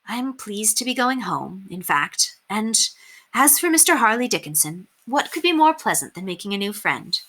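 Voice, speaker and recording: A woman's voice: medium pitch, big pitch swings, moderate pace, fairly bright tone, voice pushed up. A woman voicing a kind, earnest young governess with proper, polite phrasing in a storybook reading. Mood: warm, reluctant.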